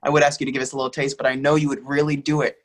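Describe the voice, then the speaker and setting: A man's voice: medium pitch, flat delivery, rapid-fire, lightly acted. Casting: man to play brash, over-familiar male bar-goer for a play reading; teasing, pushy, chummy delivery. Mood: needling, effusive.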